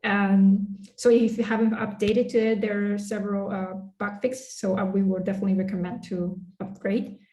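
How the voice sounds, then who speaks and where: A woman with a mellow, dark-toned voice hosts an online meetup over a video call. She talks in a friendly, hesitant way, full of fillers and restarts, and her voice rings a little in an echoey room. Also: medium pitch, little pitch movement, normal speed, hardly any pauses. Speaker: meetup host.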